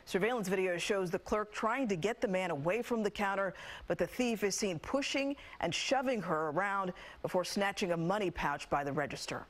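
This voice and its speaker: An American woman works as a television news anchor. She reads scripted stories in a middle-pitched voice, presenting them in newscast style. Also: moderate pace.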